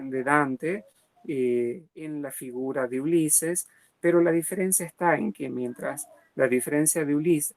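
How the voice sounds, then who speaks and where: A man giving an academic lecture, hesitant, with many pauses and fillers, slow and deliberate. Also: mid-range voice, gravelly, dry recording.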